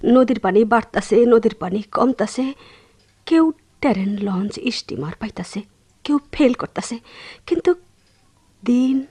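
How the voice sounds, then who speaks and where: An actress playing a sorrowful, brooding village wife with a rural Bangladeshi accent in a radio drama monologue, halting and breathy, drifting into whispers. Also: female voice, medium pitch, darkish tone.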